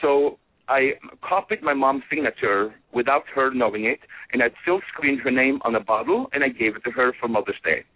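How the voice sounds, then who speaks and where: Man from Norway, fragrance designer guest, animated storytelling, husky voice, dry tinny phone line. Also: medium pitch, moderate pace, dry recording. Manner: animated, many fillers and restarts. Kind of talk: casual storytelling in an interview.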